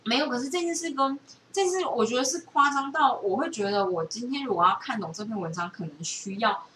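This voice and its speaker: A woman's voice: on the high side, expressive, a little fast, bright, forceful tone, recorded from a distance, slight background noise. A woman with a Taiwanese accent chatting casually as a co-host, dropping English words into her talk. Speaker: podcast co-host. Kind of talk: casual chat.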